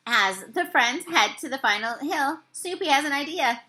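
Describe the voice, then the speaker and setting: A woman reading a children's story aloud, with expressive and carefully phrased narration. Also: sits high, moderate pace. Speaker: storybook reader.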